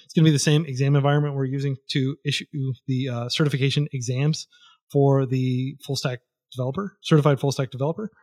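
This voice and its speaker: A man's voice: mid-range voice, fairly even intonation, fast, a little mellow. A man talking casually as a podcast guest, rambling with lots of fillers and restarts.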